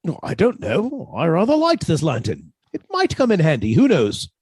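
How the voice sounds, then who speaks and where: Man acting a husky, mellow henpecked husband. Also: a little high, wildly swooping pitch, normal speed, dry recording, studio quality, exaggerated voice. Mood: meek, evasive. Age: middle-aged. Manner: mild, submissive, quietly sly. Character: meek henpecked British botanist husband, quietly scheming murderer. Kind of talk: radio suspense drama acting with narration.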